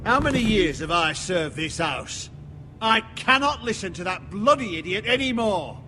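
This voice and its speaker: A hot-headed warrior knight for a fantasy game, blunt and full of scorn. His high-set voice swings widely as he argues. It rings in a long hall reverb. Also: big pitch swings, normal speed, pushed and bright, a little hiss or hum, heavily acted. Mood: angry, exasperated. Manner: blunt, contemptuous. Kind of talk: dramatic video game acting.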